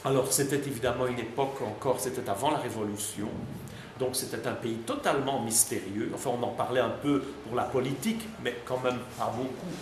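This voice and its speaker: A man's voice: mid-range voice, brisk. A man talking freely as a visiting guest before a class, chatty and hesitant, full of fillers and restarts. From Belgium. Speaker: visiting guest answering students. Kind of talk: relaxed spontaneous talk. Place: high school classroom. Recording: echoey classroom with background noise.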